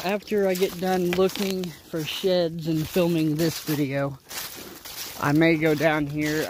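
Higher-voiced man outdoors. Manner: rambling, folksy, thinking aloud. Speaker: outdoor vlogger. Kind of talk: casual vlog commentary while walking. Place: outdoors in leafy winter woods. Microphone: handheld camera or phone, behind the lens. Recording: handheld outdoor recording with noise.

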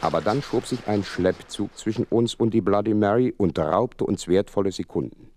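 An actor playing an earnest gentleman doctor who narrates a detective radio play in measured, literary phrases. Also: lowish voice, dry recording, natural voice. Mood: tense, vivid.

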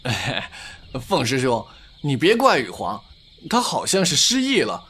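A man's voice: medium pitch, wildly swooping pitch, measured pace, fairly bright tone, slightly rough, exaggerated voice. A man playing a chatty, gossipy young disciple in an audio drama. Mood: anxious.

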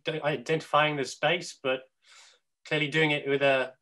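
A man's voice: a little high, flat delivery, a little slow, bright, forceful tone. A man in his thirties hosting a webinar from a room at home, asking questions hesitantly with many fillers over a video call. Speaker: host. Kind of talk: webinar moderating.

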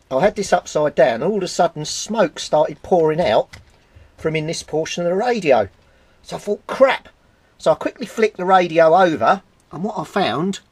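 A man's voice: a little high, breathy edge, dry recording. A British man giving an informal, explanatory repair update as a hobbyist, thinking aloud while he works with his hands. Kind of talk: casual explanatory vlog while handling equipment. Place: indoors at a home workbench.

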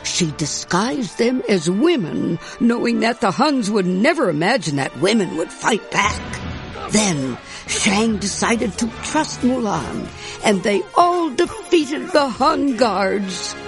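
A woman's voice: mid-range voice, sing-song, slow pace. A woman narrating a children's storybook as a scripted read-aloud. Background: music.

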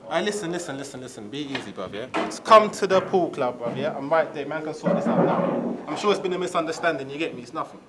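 Brief: male actor as a soothing young lad, slightly high voice, heavy background noise. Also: very animated, measured pace, pauses often. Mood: placating. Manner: placating, repetitive slang. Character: young male London street mediator, calming others. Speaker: actor in a street skit.

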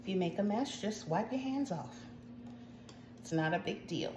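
A woman's voice: medium pitch, expressive, moderate pace. A woman in her forties with an American accent, hosting a casual baking tutorial in her home kitchen and chatting to viewers with frequent asides. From United States, Jamaican household. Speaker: home cooking show host. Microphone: phone camera at arm's length. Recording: echoey kitchen with faint noise.

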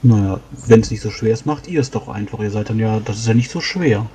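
A man's voice: fairly low pitch, brisk, dark tone. A man playing a character in a tabletop role-playing game, with dry, sarcastic remarks. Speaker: player. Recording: dull lo-fi mic.

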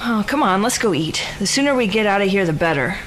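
An American actress playing an anxious, impatient young daughter in an audio drama. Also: female voice, mid-range voice, animated, quick pace, background noise, natural voice.

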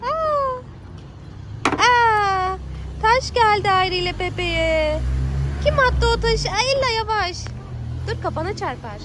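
A woman with a very high, singsong-range voice talks playfully to a small child. She encourages in short, repeated prompts. The recording is a noisy outdoor home video at a playground, with ambience and traffic behind her. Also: animated, slow pace, long pauses. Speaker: parent playing with a young child. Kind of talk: playful child-directed chat.

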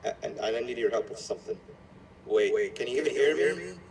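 A man voicing a scheming cartoon monster mascot in a video game, falsely friendly and quietly menacing, in an ingratiating conversational manner with hesitant pauses. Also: medium pitch, distant mic, natural voice. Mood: eager, relieved. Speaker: game character guiding the player. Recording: echoey playback filmed off a screen, noisy.

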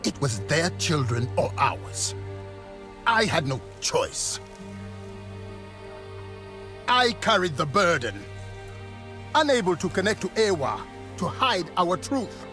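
Stern, high-voiced alien advisor. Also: male voice, big pitch swings, slow pace, long pauses, pushed and bright, faint music behind, exaggerated voice. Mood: bitter, defensive. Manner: commanding, emphatic. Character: stern, protective, self-justifying male Na'vi advisor. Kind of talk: dramatic video game cutscene acting.

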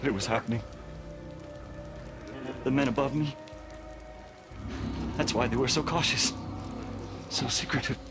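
An actor playing a grave government scientist in a video game, speaking in a deliberate, foreboding manner. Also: male voice, medium pitch, music in the background, natural voice. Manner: deliberate, ominous. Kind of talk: video game cutscene acting.

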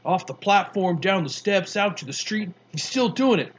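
A brash young street boy, put on by a man, tells his tale in a raised, slangy rush. The voice sits well above a grown man's, with a husky edge. The recording sounds a little dull and muffled. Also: high voice, moderate pace, voice pushed up. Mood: excited. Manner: slangy, boastful, excitable storytelling.

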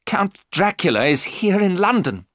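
A man's voice: sits high, sing-song, moderate pace, no room sound, studio quality, pitched above the performer's own voice. A man voicing a terrified husband in a gothic story, exclaiming with urgency. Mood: alarmed, insistent. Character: terrified husband crying out in alarm.

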